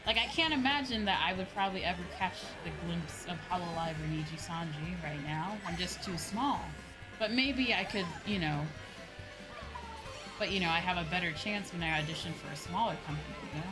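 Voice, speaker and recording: A woman's voice: fairly low pitch, expressive, a little fast, low-quality recording. A woman chatting casually on a livestream as a VTuber, giving opinions with frequent tag questions and some swearing. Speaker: VTuber streamer. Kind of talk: casual livestream chat while gaming. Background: video game music.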